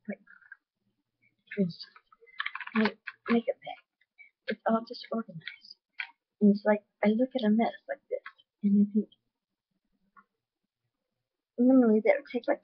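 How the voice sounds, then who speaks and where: Woman vlogger, loud voice, candid and earnest, husky tone, dry muffled webcam sound. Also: fairly high pitch, no echo. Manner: candid, earnest, sharing personal experience. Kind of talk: personal video talk.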